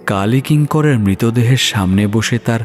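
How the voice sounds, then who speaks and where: A man narrating a scripted horror story in a dramatic, measured, suspenseful manner. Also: mid-range voice. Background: music.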